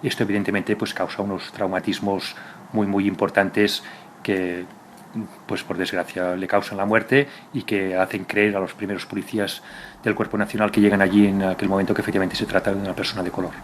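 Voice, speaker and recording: A middle-aged man recalling events in an interview as a police officer, haltingly, with repeated words. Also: low-pitched, level tone. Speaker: police commissioner giving testimony. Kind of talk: spontaneous interview recollection. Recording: faint ambient noise behind interview.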